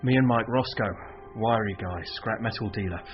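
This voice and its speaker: A man's voice: lowish voice, moderate pace, close to an everyday voice. An actor playing a tough young British undercover policeman in a radio drama. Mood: matter-of-fact. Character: touchy, tough young undercover special branch man. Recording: muffled, with music behind.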